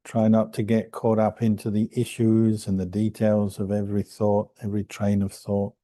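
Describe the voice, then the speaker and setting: A man guiding a meditation as a monk and teacher, speaking calmly and gently with unhurried pauses between phrases. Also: lowish voice, no room sound, clean studio sound. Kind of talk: guided meditation instruction.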